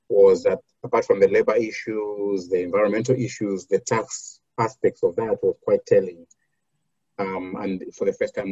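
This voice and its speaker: A man from Zambia, a foundation program officer, answering interview questions in a measured way with many fillers and restarts. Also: deep voice, dark tone, husky, dry recording, highs cut off.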